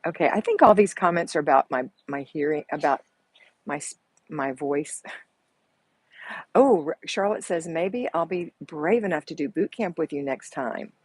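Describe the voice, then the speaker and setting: A soft-spoken woman with a drawl chats through a live stream, reading comments and coaching viewers in a motherly way. Her voice sits a little low and carries a husky, breathy edge. She is picked up by a small earbud microphone. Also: animated, normal speed, no echo. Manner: chatty, motherly, calls viewers honey and girls. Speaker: home organizing coach hosting a live chat. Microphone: earbuds with mic.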